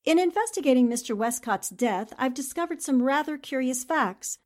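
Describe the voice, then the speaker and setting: An actress as a shrewd woman reporter in an old-time radio mystery. Her voice is fairly high, and she probes with formal, determined questions. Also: expressive, moderate pace. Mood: probing. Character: determined, shrewd newspaperwoman sleuth, cool and probing. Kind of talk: 1940s radio drama acting.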